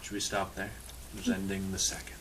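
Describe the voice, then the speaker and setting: A man's voice: lowish voice, very animated, brisk, gravelly. A man reading a storybook aloud with casual asides in a dry, irreverent manner, sometimes swearing. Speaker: storyteller reading a picture book aloud to an audience.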